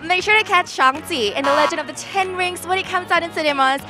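A woman's voice: very high-pitched, quick pace, music in the background. A woman hosting a playful street interview outdoors on a busy city shopping street, asking passersby questions and teasing them with laughs. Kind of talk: upbeat vox-pop hosting.

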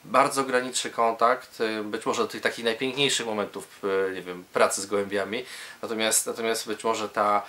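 A man with a lowish, very bright and forceful voice explains things as a medical specialist. His delivery is halting, with repeated words and hesitations as he builds each sentence in an interview setting. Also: fairly even intonation.

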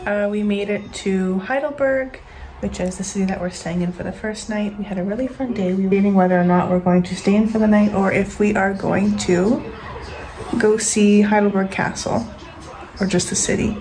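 A woman's voice: medium pitch, fairly even intonation, moderate pace. A woman in her twenties chatting casually to camera as a travel vlogger, recorded on a phone at arm's length. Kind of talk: casual vlog chat to camera. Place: mostly inside a moving car, also outdoors and hotel room. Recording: loud car and travel background noise.

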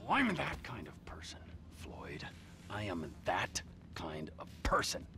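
An actor playing an unhinged, menacing middle-aged criminal in a video game, theatrical and bullying. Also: male voice, deep voice, wildly swooping pitch, unhurried, sharp tone, faint music behind, heavily acted. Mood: sly menace. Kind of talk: video game cutscene acting.

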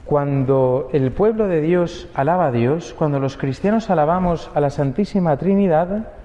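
A young priest from Spain, speaking with a Spain Spanish accent, gives a conversational homily at Mass, full of rhetorical questions and little tag words. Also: male voice, fairly high pitch, moderate pace, dark tone. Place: church. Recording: dull, lo-fi audio with long church reverb.

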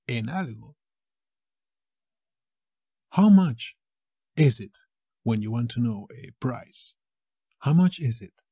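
A man from Spain with a Castilian Spanish accent, teaching a language lesson, saying each phrase slowly and repeating it with variants. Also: mid-range voice, mellow, breathy edge, no room sound, clean studio sound.

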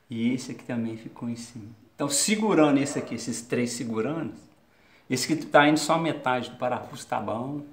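A man in his fifties with a Brazilian Portuguese accent, chatting casually to viewers while doing a home repair job, filmed close on a phone in a small kitchen. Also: medium pitch. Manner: chatty, talks to viewers mid-task. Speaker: home DIY vlogger. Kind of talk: casual how-to narration while working. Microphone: handheld phone camera, close. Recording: echoey tiled room, faint noise.

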